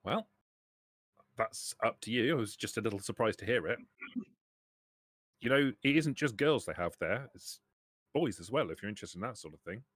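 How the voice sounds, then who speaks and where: A man voicing a businesslike trader in a tabletop game, dry, knowing and unhurried. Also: on the low side, expressive, a bit bright, no room sound. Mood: politely probing. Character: businesslike male trader on a radio call.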